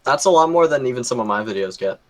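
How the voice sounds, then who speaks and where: A man's voice: medium pitch, expressive, fast talker. A man co-hosting a casual fan podcast over an online call, upbeat and joking, with lots of fillers. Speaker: podcast co-host. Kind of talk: casual chat.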